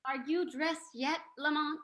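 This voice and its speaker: A detective's flirtatious sidekick played by a woman in a vintage radio mystery. Her voice is fairly high, and she is coy, playful and inquisitive. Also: steady tone, unhurried, a bit bright. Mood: teasing. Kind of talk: old-time radio drama acting.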